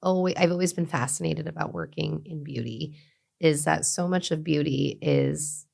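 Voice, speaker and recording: A middle-aged woman, a guest talking reflectively in an interview, with many restarts and soft trailing endings. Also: low voice, moderate pace, creaky. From Los Angeles, USA. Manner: thoughtful, many fillers and restarts, trailing softly. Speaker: guest, beauty brand founder.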